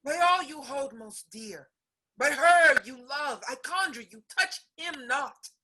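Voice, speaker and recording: A woman's voice: sits high, animated, takes it slowly, bright, forceful tone, full character voice, low-quality recording. A woman playing a distressed but defiant young lady in a period stage comedy, declaiming with passion. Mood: imploring. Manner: impassioned, declamatory. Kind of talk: theatrical Restoration play acting.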